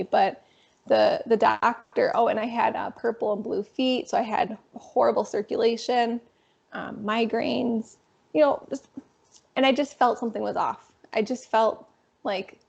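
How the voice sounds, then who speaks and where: Raspy young woman, gravelly. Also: on the high side. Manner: animated, frequent fillers. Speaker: guest. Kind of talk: casual interview storytelling. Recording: dull lo-fi sound.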